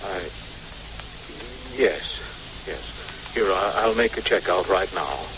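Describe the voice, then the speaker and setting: An actor playing a weak-willed, shaken married man in a 1940s radio drama, speaking in a halting, hesitant way. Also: sits low, lively intonation, full character voice. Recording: muffled, noisy old radio recording.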